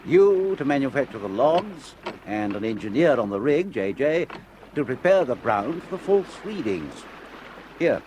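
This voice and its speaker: A man's voice: mid-range voice, very animated, a little slow, a little mellow, rough recording. An actor playing a smug middle-aged male swindler who talks down to his partner as "dear boy". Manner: patronizing, says "dear boy". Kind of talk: 1970s radio drama acting. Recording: radio play with water noise.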